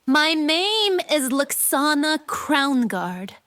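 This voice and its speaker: A woman playing an earnest, courteous young noblewoman in a fantasy audio drama, speaking gently and politely. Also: high voice, big pitch swings, very slow, dry recording, heavily acted.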